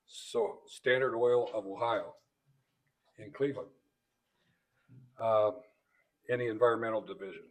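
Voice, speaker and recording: A man with an average-pitched voice gives an introduction at a banquet. He works through prepared notes in short, halting phrases, adding small asides as he goes. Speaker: presenter introducing guests. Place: banquet event room.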